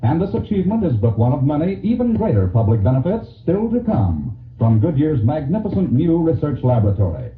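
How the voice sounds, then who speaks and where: A man announcing a 1940s radio commercial in a scripted sales style. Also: on the high side. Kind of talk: 1940s radio advertising read. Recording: tinny, echoey 1940s radio recording.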